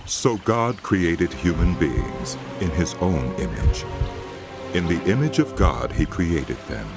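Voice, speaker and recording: Extremely deep-voiced, slow male scripture narrator. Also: very animated. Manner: solemn, deliberate storytelling. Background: music.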